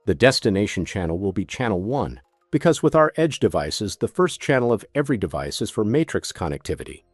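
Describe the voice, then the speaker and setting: A man's voice: lowish voice, very animated, fairly quick, husky, no room sound, studio quality, faint music behind. A man narrating a scripted product tutorial as a demonstrator, giving methodical step-by-step instructions.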